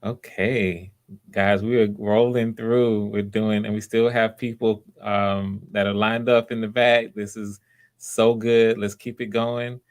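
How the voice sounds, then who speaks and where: A man's voice: fairly low pitch, flat delivery, slow pace. A man hosting live voice auditions, speaking casually and giving encouraging feedback.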